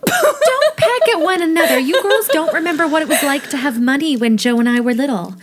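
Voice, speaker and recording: A woman's voice: sits high, expressive, a little fast. A woman playing a proper, ladylike eldest teenage sister in a period audio drama, with a prim, reproving manner. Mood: chiding, wistful. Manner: prim, scolding, wistful. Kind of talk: period radio-play acting.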